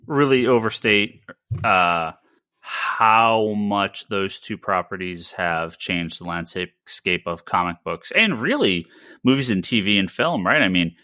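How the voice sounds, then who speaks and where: A man co-hosting a casual panel discussion, talking haltingly and often stammering over repeated words. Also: on the low side, lively intonation, sharp tone, no echo, clean studio sound. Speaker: podcast co-host.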